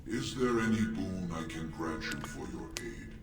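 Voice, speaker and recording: An actor playing an ancient, weary dwarf smith turned golem, speaking gravely and formally in a fantasy video game. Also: male voice, a bass voice, lively intonation, normal speed. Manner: grave, formal, archaic phrasing. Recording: very echoey, distant, music behind.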